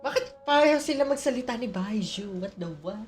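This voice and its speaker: A woman's voice: fairly high pitch, animated, fast talker, over music. A woman with a Filipino accent casually reacting to a game as she streams, playful and teasing, switching between languages. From Philippines.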